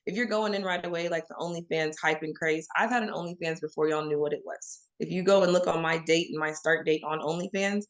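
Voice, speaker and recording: A woman's voice: sits low, fairly even intonation, quick pace, few pauses. A woman from Oklahoma giving candid, emphatic answers as an interview guest, speaking straight to the audience. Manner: frank and emphatic, addresses listeners as y'all.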